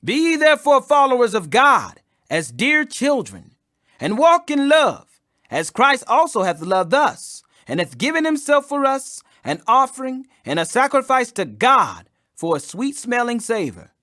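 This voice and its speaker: A man reading the Bible aloud as a scripture reader, in emphatic, declamatory, measured phrases. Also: very high voice, big pitch swings, bright, forceful tone. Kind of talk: audio Bible reading, King James English.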